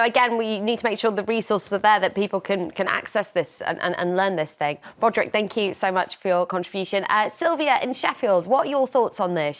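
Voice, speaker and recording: A woman hosting a radio phone-in, calm and friendly as she takes listeners' calls. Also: medium pitch. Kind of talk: hosting a call-in discussion.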